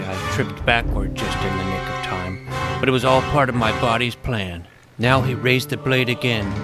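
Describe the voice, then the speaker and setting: A man narrating a comic fantasy adventure in the first person as its self-important young hero. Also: mid-range voice.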